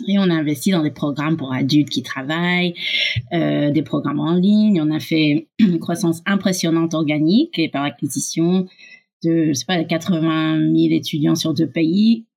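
Low-voiced woman with a North American accent. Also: normal speed, creaky, darkish tone. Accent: North American English. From Canada and USA. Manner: fluent, explanatory, with frequent fillers. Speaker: guest, startup co-founder. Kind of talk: podcast interview.

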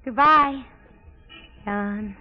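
A woman's voice: fairly high pitch, drawn-out words. A woman acting in 1940s radio style as an eager, naive, polite young small-town girl hoping for the stage. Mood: grateful, eager. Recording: muffled 1940s radio broadcast.

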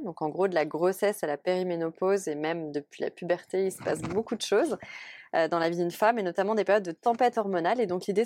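Low-voiced woman, dry close sound. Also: a little mellow, no echo. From Paris. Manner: explains at length with many fillers. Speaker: company founder, guest. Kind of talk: casual interview talk.

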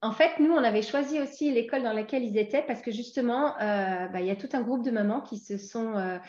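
A woman's voice: fairly high pitch, a little fast, a little mellow, muffled recording. Hesitant French woman in an echoey room. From France. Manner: hesitant, many fillers and restarts. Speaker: interview guest. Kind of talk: casual interview conversation.

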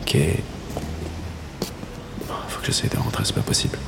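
A man in his thirties muttering casually to himself on location. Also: normal speed. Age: 30s. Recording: noisy location sound with traffic.

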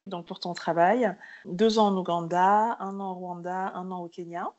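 A woman's voice: fairly low pitch, fairly even intonation, moderate pace, darkish tone, muffled recording. A woman hosting a podcast, asking long, engaged, curious questions. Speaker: podcast host. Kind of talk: podcast interview.

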